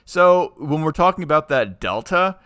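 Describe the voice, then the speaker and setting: A man's voice: fairly high pitch, lively intonation, moderate pace, a bit bright, clear, resonant tone, no room sound, studio quality. A man co-hosting a podcast in casual, opinionated chat, speaking emphatically and argumentatively and often digressing. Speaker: podcast co-host.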